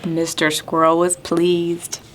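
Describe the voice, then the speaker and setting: A woman storytime host reading aloud expressively to small children, phrasing clearly and asking them questions. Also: lowish voice, monotone, slow pace, slight background noise.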